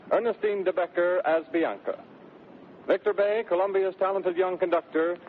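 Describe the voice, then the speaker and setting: A man's voice: sits high, slight background noise. A man making a formal, declamatory announcement as a 1930s radio network announcer. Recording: tinny 1930s radio broadcast.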